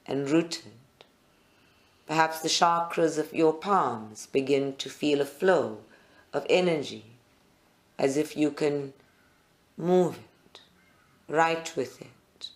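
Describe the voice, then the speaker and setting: Slow, deliberate meditation guide. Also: mid-range voice. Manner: deliberate, short phrases with pauses. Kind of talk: guided meditation, live group session. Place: live group meditation at a centre.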